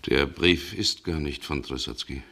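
An actor playing a brooding, haunted Russian gentleman in a German radio drama, speaking gravely and haltingly. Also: rumbling low, heavily acted. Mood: shaken, solemn. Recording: 1960 radio recording, some background noise.

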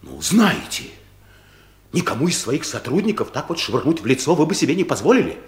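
An actor playing a timid office clerk who gets flustered and indignant, halting and defensive, in a Soviet radio comedy. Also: male voice, a little high, wildly swooping pitch, slight background noise. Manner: halting, defensive, deferential to his boss.

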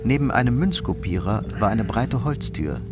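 A man narrating a radio crime drama from a script in a sober, measured way. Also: on the low side, very animated, music in the background.

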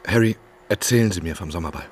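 A man's voice: low-pitched, quick pace, slight background noise, natural voice. A man playing an eager, inquisitive novelist turned investigator in a radio drama, earnest and probing. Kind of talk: radio drama narration and dialogue.

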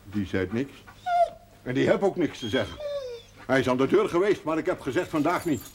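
Stubborn devout country healer, played by a male actor: blunt, stammers when challenged, very animated intonation, faint noise of old TV drama. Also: medium pitch, fairly quick, close to an everyday voice. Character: stubborn, proud rustic folk healer man, earthy and devout. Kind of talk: television drama acting. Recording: noisy old television soundtrack.

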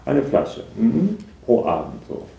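A man's voice: mid-range voice, huge pitch swings, slow, gravelly, dark tone, reverberant, dull audio, a little hiss or hum, full character voice. An actor playing a psychologist in a naturalistic radio drama, hesitantly probing and repeating back what he is told. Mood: detached, probing. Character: evasive male psychologist, soft, probing, echoing every answer. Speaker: psychologist conducting an assessment.

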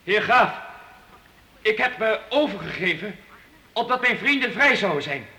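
A man's voice: high-pitched, lively intonation, measured pace, sharp tone, exaggerated voice. An actor playing an earnest, brave young serf boy in a Dutch radio play, pleading urgently with a stammer. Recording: very echoey reverberant hall.

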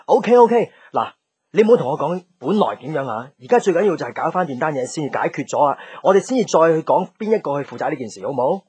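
Husky, earnest young Hong Kong man. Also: fairly high pitch, very animated, fast talker, a little mellow, no room sound, full character voice. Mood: pressed, busy. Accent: Hong Kong Cantonese. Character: busy, lovelorn young office man, earnest and apologetic. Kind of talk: Cantonese radio drama acting.

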